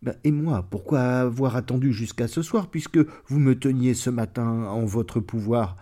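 A man voicing a meek, nervous male wolf who speaks in a hushed, deferential way. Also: mid-range voice, moderate pace, natural voice. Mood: alarmed.

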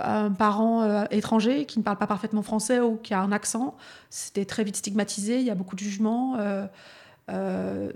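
Mid-pitched woman telling personal stories. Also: a little mellow. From Lyon area, France. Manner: hesitant, frequent fillers, storytelling. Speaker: podcast guest and speaker. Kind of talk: casual reflective conversation.

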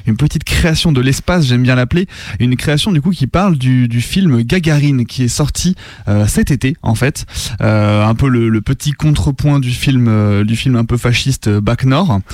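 A man's voice: mid-range voice, normal speed, darkish tone, no room sound. A man with a mid-range voice presents a late-night radio show. He talks casually, rephrasing as he goes, in a dry studio. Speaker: radio co-host.

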